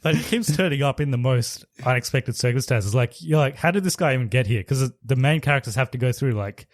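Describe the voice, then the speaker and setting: A man's voice: mid-range voice, fairly quick, no room sound, studio quality. A man co-hosting a podcast, casually reviewing a movie in a rambling, laid-back way. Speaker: podcast co-host.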